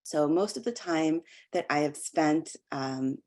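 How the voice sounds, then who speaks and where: An American woman, an academic researcher, giving an explanatory slide lecture in a halting way with frequent pauses and ums. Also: sits low, a little mellow. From United States.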